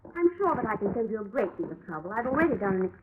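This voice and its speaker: A woman playing a self-assured, efficient young assistant with a crisp, proper manner in a 1940s radio drama. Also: medium pitch, sing-song, fast talker, close to an everyday voice. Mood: impatient, superior. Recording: muffled vintage radio recording, faint hiss.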